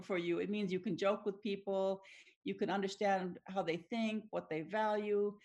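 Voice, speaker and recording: A woman's voice: medium pitch, a little mellow. A middle-aged woman whose first language is Finnish, answering interview questions thoughtfully with frequent restarts and small laughs. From Tanzania. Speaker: academic researcher guest.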